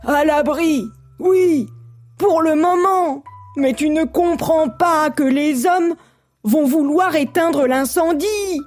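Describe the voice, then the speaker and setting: A woman's voice: very high-pitched, drawn-out words, few pauses, a little mellow, faint music behind, voice pushed up, heavily acted. A woman voicing a panicked female frog in a storytelling tale, with urgent, alarmed delivery. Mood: urgent warning.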